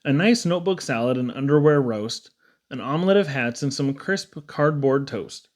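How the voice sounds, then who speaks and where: A man's voice: mid-range voice, animated, normal speed. A man reading humorous children's poems aloud in a theatrical, playful storytelling manner. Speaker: poetry reader.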